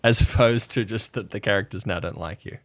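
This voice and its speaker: A man's voice: on the low side, fairly quick. A man hosting a show in casual, unscripted banter, with a teasing, mock-indignant manner. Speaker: podcast host.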